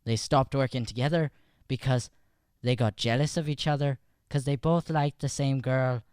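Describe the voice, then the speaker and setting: A man's voice: medium pitch, fairly even intonation, normal speed, a little mellow, smooth, dry recording, studio quality. A man performing as an improv comedian, bantering and recapping a show informally into a podcast microphone.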